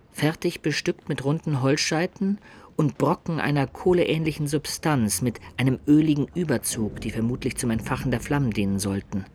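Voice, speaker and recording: A man plays an observant knightly companion narrating a radio play. His voice is mid-pitched, and he tells things in measured detail. There is faint background noise around him. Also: lightly acted. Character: loyal, observant male companion recounting a medieval campaign. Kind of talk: literary radio drama narration.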